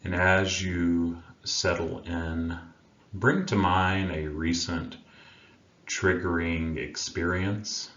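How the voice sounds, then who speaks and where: A man with a remarkably deep voice leads a guided meditation. He speaks slowly and soothingly, leaving long pauses between gentle phrases. The sound is roomy and a little muffled. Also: fairly even intonation. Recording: roomy, dull sound with faint background noise.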